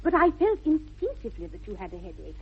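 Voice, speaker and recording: Well-bred English ingénue, swooping and husky-edged, prim and slyly teasing, upper-class accent, radio comedy. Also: female voice, medium pitch, wildly swooping pitch, quick pace, heavily acted. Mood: coy mischief. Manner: precise, demure, arch. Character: sly, artless, romantic well-bred young English girl. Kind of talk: 1960s radio comedy-of-manners acting. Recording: muffled, band-limited old radio broadcast.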